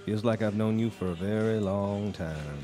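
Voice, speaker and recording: A man acts out a haunted bluesman in a live radio play, drinking in a bar. His voice is low and weary, and he tells his tale haltingly and reluctantly over music. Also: dark tone, natural voice. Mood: weary, guarded. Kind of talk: live radio drama acting. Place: live stage performance of a radio drama.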